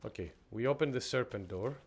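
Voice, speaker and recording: A man's voice: mid-range voice, fairly quick, a little hiss or hum. A young man from Greece streaming a horror game, chatting casually with viewers and reacting as he plays. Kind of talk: casual gameplay commentary.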